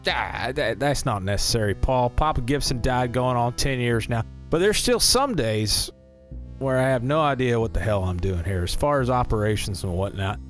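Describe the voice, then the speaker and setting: Man playing a folksy smooth-talking carnival boss. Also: medium pitch, animated, normal speed, close to an everyday voice. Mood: friendly, chatty. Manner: genial salesman patter with frequent chuckles and folksy phrasing. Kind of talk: scripted audio drama acting. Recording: music behind the voice.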